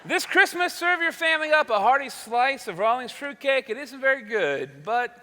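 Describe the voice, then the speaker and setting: A man's voice: extremely high pitch, sing-song, normal speed, bright, forceful tone, exaggerated voice. A man playing a jovial, wry old-time radio announcer, delivering comic sponsor spiels and monologues. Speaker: radio show announcer and host. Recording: echoey hall, faint music.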